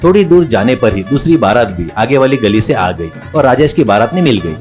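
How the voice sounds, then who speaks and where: Casting: male storyteller, medium pitch, lively expressive scripted comedy narration with background music. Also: a little fast.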